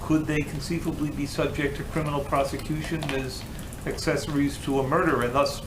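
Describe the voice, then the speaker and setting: A man asking questions as a reporter at a press briefing, deliberate and somewhat hesitant. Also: fairly high pitch, level tone. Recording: echoey room with clear background noise.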